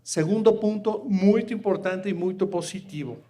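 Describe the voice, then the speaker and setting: A Mexican man whose first language is Spanish, speaking at a panel in halting, accented Portuguese with many fillers, partly reading a prepared statement. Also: high-pitched, warm tone. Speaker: panel speaker from an international food agency.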